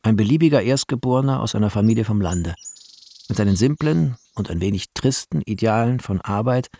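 A man reading screenplay directions in a measured, deliberate way as radio drama narration, with a husky, gravelly voice. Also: on the low side, expressive, warm tone, dry recording. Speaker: reader of film credits and screenplay directions.

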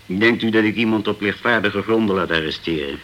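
An actor playing a formal, sceptical police inspector in a Dutch radio crime drama, measured and challenging. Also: male voice, fairly low pitch, fairly bright tone, slight background noise, natural voice.

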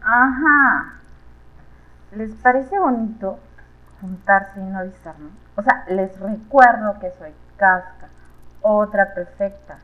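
A woman's voice: medium pitch, slow, natural voice. A woman playing an offended, vengeful prefect in an amateur radio play, scolding indignantly. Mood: offended, threatening. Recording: tinny phone-like audio.

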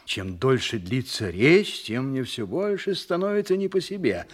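A man's voice: mid-range voice, sing-song, moderate pace. An actor playing a flustered, folksy city judge who grumbles through his story in a theatrical audio drama monologue. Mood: puzzled, uneasy. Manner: chatty, grumbling, exclamatory storytelling.

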